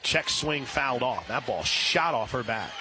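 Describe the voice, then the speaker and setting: A man calling live softball play-by-play on radio, filling the gaps between pitches with conversational detail. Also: animated, measured pace. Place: outdoor softball stadium broadcast position. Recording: clear outdoor ballpark ambient noise.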